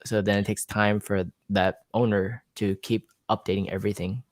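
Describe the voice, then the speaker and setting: A man's voice: on the low side, level tone, normal speed, dry recording, clean studio sound. A man hosting a casual talk about mortgages, talking in long, loose, rambling sentences. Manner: conversational, rambling with restarts. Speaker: podcast host. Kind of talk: casual conversation.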